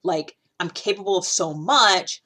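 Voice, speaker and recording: A woman's voice: fairly low pitch, a little slow, bright, forceful tone. A woman in her thirties from Ohio, talking casually on her podcast in a rambling way, full of restarts and fillers. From Ohio, USA. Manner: chatty, heavy use of "like", frequent restarts. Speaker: podcast host. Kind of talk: casual personal monologue.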